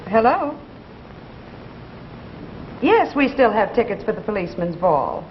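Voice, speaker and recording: An actress playing a prim, snooty police receptionist in 1950s radio comedy, formal and genteel. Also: female voice, mid-range voice, very animated, a little slow. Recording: dull, noisy radio recording, studio audience.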